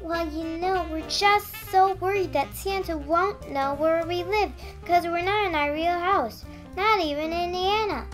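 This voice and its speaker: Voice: very high-pitched, measured pace, a bit bright. A performer playing an eager, earnest little girl who frets about Christmas in a radio play. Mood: worried, earnest. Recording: music behind the voice.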